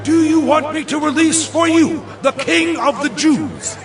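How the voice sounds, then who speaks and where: An actor playing an exasperated, commanding Roman governor, declaiming to a crowd in a scripture drama. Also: male voice, very high-pitched, measured pace, recorded from a distance. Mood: commanding, formal. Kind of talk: dramatized scripture audio acting.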